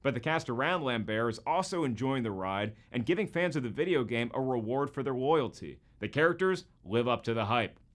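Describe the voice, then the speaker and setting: A man's voice: mid-range voice, animated, brisk, few pauses, fairly bright tone. A man hosting a scripted video commentary in a jokey, colloquial and emphatic way. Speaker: host.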